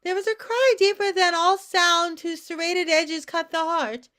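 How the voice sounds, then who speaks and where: A woman playing a mother who fusses brightly in a sing-song call and can turn tender, in a staged play reading. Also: extremely high pitch, steady tone, unhurried. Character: mother, brightly nagging, later tender and wistful.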